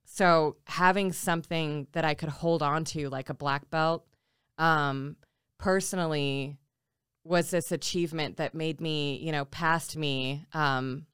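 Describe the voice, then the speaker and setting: A woman's voice: low voice, steady tone, slow pace, a bit bright, smooth, no echo, studio quality. A woman in her forties from Nebraska, chatting reflectively as a podcast guest, with many fillers and restarts. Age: 40s. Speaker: podcast guest, tattoo artist and jiu-jitsu coach. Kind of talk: casual interview chat.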